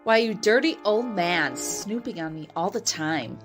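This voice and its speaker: A woman's voice: medium pitch, lively intonation, a little slow, lightly acted. Bitter grown daughter played by a woman, flippant and cold, dramatic comic reading with prominent music underneath. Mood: reproachful. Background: loud music.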